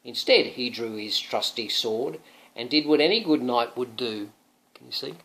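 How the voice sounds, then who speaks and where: A man reading a children's storybook aloud in an animated, playful way, like a dad with questions for his young listener. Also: medium pitch, normal speed, rough recording. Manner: dramatic, playful, often asking the listener questions. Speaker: father reading a picture book to his young son.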